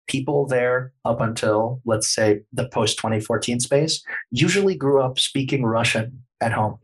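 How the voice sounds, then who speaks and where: A man's voice: medium pitch, slightly rough, rough recording. A man talking as a podcast guest, explaining history at length in a lecturing way, hedging often with plenty of fillers.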